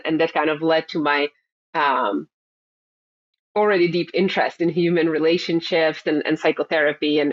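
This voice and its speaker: Middle-aged woman, reflective therapist guest, muffled lo-fi recording. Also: low voice. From Czech Republic. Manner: thoughtful, halting, many fillers and repeated words. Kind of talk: interview answers. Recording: dull lo-fi sound.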